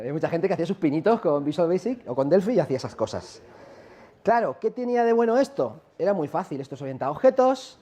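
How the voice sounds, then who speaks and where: A man's voice: on the high side, dark tone. A man from La Mancha with a Castilian Spanish accent, giving a chatty conference talk and throwing questions at the audience. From La Mancha, Spain. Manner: chatty, asks the audience questions, many tag questions. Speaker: conference speaker. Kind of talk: live tech conference talk.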